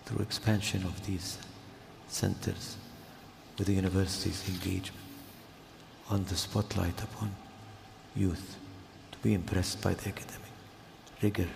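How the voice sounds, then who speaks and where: An older man from Jordan giving a formal speech at an event, slow and measured, with pauses. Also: sits low, gravelly, a little mellow, slight background noise. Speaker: guest speaker. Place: large hall. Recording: echoey hall, heard from a distance.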